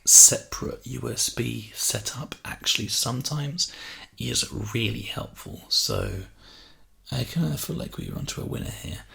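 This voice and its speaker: A man doing a casual, reassuring ASMR sales role play, speaking softly and chattily into a close binaural microphone. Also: mid-range voice. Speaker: ASMR performer playing a personal shopper. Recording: close binaural mic, clear background hiss.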